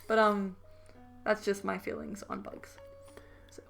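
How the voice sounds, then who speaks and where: Female podcast co-host. Casual, opinionated sparring, blunt delivery, noticeable vocal fry. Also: medium pitch, flat delivery, a little slow, a bit bright, faint music behind. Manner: blunt, argumentative. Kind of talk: casual banter.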